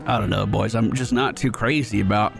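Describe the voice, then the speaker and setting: A man's voice: lowish voice, steady tone, a little fast, gravelly, over music. A man giving casual, chatty gameplay commentary as a streamer, informal in his wording. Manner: chatty, informal, reads game text aloud. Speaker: gaming streamer.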